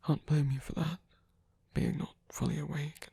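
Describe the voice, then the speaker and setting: A performer voicing a tender reformed villain as a protective lover in an intimate, gentle, breathy ASMR roleplay, speaking slowly in a hushed whisper. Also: medium pitch, wildly swooping pitch, no room sound, heavily acted. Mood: tender, apologetic. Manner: slow, gentle, hushed, reassuring.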